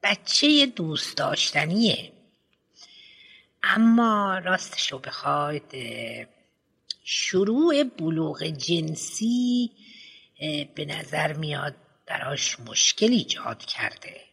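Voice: mid-range voice, sing-song, gravelly, sharp tone, slightly rough, full character voice. A reader voicing a fussy older school head with false teeth who rambles pompously with many hesitant fillers. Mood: rambling, lecturing. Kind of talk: audiobook character reading.

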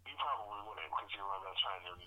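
A man from with a nasal voice, co-hosting a podcast in excitable, jokey casual chat. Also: deep voice, quick pace, muffled recording. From Wisconsin. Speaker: podcast co-host.

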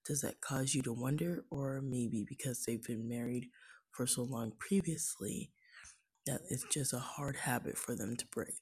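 A woman with a naturally raspy, husky voice, hosting a casual live stream and musing aloud in a soft, half-whispered way with frequent pauses. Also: very deep voice. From California. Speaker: livestream host.

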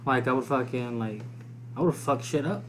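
A man's voice: mid-range voice, normal speed, a bit bright, a little hiss or hum. A man whose first language was Spanish, giving blunt, short, profane answers in a casual podcast chat. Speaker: podcast co-host.

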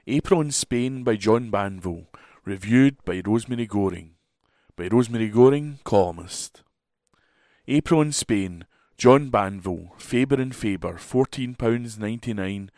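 Lowish-voiced man reading, dry sound. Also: a little mellow, no room sound. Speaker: volunteer newspaper reader. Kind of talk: reading a book review aloud. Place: at home.

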